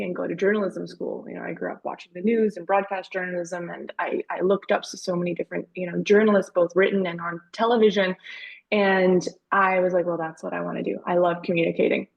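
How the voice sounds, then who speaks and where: A woman's voice: fairly low pitch, little pitch movement, a little fast, vocal fry, lo-fi sound. A woman in her thirties, raised in Canada with Dutch as a first language, telling her career story as a guest on a webinar chat with many fillers. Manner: warm storytelling, frequent fillers. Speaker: guest author.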